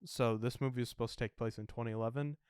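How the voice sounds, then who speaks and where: Clear mid-pitched guy on a casual podcast, dry close sound, kinda halting. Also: fairly even intonation, a little mellow, no echo. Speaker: podcast co-host. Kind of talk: casual film podcast chat.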